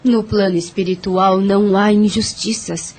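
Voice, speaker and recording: A woman playing a calm, wise counselor of spirits in an audio drama, with a husky voice and measured, soothing moralizing. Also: mid-range voice, lightly acted. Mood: calm, counseling.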